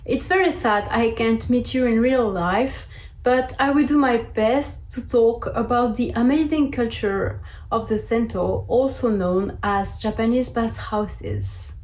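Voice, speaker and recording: A woman's voice: on the high side, unhurried, darkish tone, reverberant, poor audio. A woman in her thirties from the south of France, with a French accent, giving a prepared presentation over a video call. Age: 30s. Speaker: guest speaker. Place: indoor room at home, on a video call. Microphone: video call microphone.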